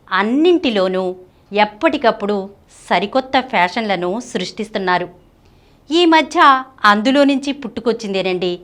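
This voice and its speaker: A woman's voice: medium pitch, fairly bright tone. A woman presenting scripted narration for a women's fashion video, addressing viewers directly. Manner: addresses viewers with rhetorical questions. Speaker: channel presenter. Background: background music.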